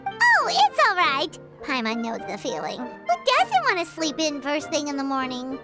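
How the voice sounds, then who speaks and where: A woman's voice: huge pitch swings, normal speed, over music. A woman voicing a tiny, childlike, chirpy and curious pixie companion in a video game. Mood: curious, cheerful. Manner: third-person self-reference, squeaky, exclamatory. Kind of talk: video game character acting.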